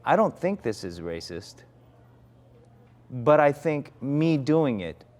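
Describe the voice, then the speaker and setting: A man's voice: mid-range voice, big pitch swings, slow, darkish tone. A man in his forties, an actor, reflecting candidly in conversation, often restarting his sentences. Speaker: actor, interview guest.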